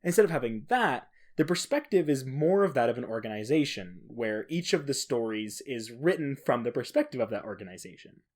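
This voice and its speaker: A man's voice: mid-range voice, animated, fairly quick, few pauses. A man co-hosting a podcast on his own, explaining a topic casually with rambling, self-deprecating asides. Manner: chuckles at own remarks. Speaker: podcast co-host.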